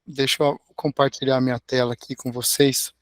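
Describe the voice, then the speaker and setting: A middle-aged man with a hoarse voice, an engineering professor giving a friendly online lecture with slides over a video call. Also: medium pitch, little pitch movement, moderate pace, no echo. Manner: friendly, tags sentences with "né?". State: hoarse, recovering from a sore throat.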